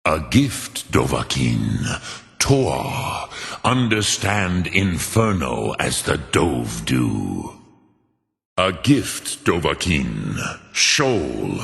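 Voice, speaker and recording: A man playing an ancient, wise dragon elder in a fantasy game, speaking in a solemn, deliberate and formal manner with a gravelly voice. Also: a bass voice, fairly bright tone, full character voice. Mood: solemn, instructive. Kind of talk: fantasy game character acting. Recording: long cavernous reverb.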